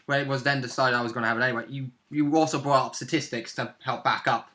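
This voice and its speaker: A man's voice: mid-range voice, fairly even intonation, fast, sharp tone. A man in his twenties chatting casually as a player about a tabletop role-play session, recorded on a webcam in a room at home. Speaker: player in a tabletop role-playing campaign, co-host. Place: small domestic room indoors.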